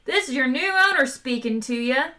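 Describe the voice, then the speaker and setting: A brash, excitable male adventurer who boasts loudly in a raised, pushy voice. A woman reading aloud puts him on. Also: sits high, normal speed. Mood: brash excited.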